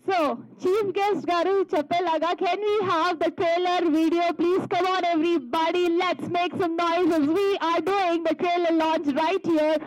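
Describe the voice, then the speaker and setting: A woman's voice: extremely high pitch, fairly even intonation, drawn-out words, hardly any pauses, rough recording. A woman with an Indian accent, hosting on stage and effusively calling for applause. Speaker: event host, emcee.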